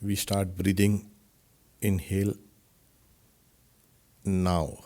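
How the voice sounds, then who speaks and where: A man, a spiritual teacher, leads a guided meditation with short, separated instructions in measured phrases. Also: sits low, level tone, dark tone.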